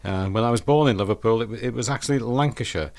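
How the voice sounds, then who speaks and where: A man's voice: fairly low pitch, fast talker, darkish tone. An older man, presenting a radio show as DJ and chatting in a rambling, reminiscing way. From northwest England. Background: music under the talk.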